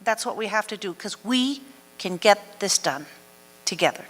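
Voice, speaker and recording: A woman's voice: medium pitch, lively intonation, moderate pace, a bit bright. A woman giving a public address at a consultation meeting, determined and rallying support. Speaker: project speaker at a public consultation. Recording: reverberant hall.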